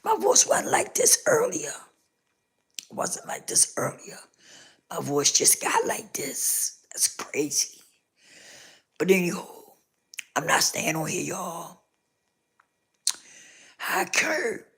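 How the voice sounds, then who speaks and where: A woman hosting a casual live stream, hoarse with a rough, scratchy voice, repeating herself as she talks straight to her viewers. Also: on the low side, huge pitch swings, slow pace, pauses often, whispered.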